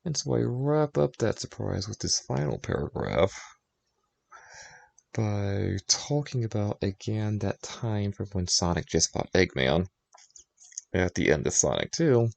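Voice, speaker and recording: Low gravelly guy streaming his writing, thinking out loud, explaining stuff casually. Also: expressive, unhurried. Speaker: streamer writing fan fiction.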